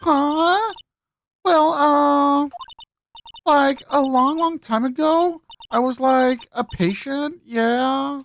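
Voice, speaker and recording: Woman voicing a high-pitched ditzy college girl. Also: very slow, slight background noise. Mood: evasive, flighty. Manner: airy valley-girl drawl, constant "like". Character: ditzy, carefree young female college student. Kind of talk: video game dialogue dub.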